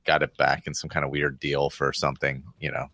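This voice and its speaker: A man's voice: rumbling low, monotone, a little fast, creaky, pushed and bright, dry recording, studio quality. A man chatting casually as a podcast panelist, explaining things in a rambling, offhand way. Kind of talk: casual chat.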